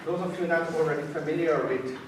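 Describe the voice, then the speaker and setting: A man with a Hungarian accent speaks in a very echoey room, far from the mic. His fairly high voice moves up and down a lot as he gives an informal, halting talk with self-deprecating humor. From Budapest, Hungary. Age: 30s. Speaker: college science teacher giving a talk. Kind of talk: informal autobiographical talk to an audience. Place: echoey indoor room before an audience. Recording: echoey room, distant mic, noisy background.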